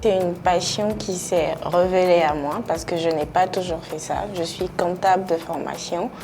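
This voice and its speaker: A woman's voice: lowish voice, steady tone, normal speed, over music. A woman in her twenties, a fashion contestant, speaking in a hesitant, reflective way. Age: 20s. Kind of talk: casual interview.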